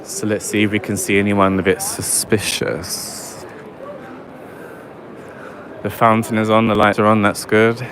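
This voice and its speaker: Guy vlogging live on a walk, low-ish voice, loud traffic noise behind. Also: little pitch movement, measured pace, pauses often. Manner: chatty, wry, talks to live chat. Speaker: livestream vlogger. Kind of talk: walking livestream commentary. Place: city streets at night, outdoors. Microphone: handheld phone or camera mic while walking. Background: traffic and street ambience.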